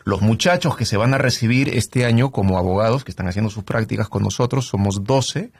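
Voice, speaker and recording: A middle-aged man from Canada talks through his work as a trial lawyer, stopping often on fillers and tag questions. His voice sits low and husky, and the sound is dry and close. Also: fairly quick, no echo. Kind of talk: conversational interview.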